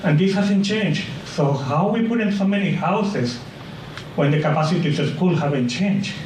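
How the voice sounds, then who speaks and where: A man giving a public comment at a town meeting, earnest and halting, with uneven grammar. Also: on the high side, darkish tone, a little hiss or hum. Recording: echoey hall, picked up from a distance.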